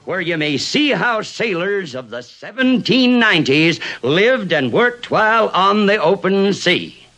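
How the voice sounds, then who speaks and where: A man's voice: high voice, bright, forceful tone, poor audio. A man narrating a vintage film in an old-fashioned newsreel style, grand and ceremonious.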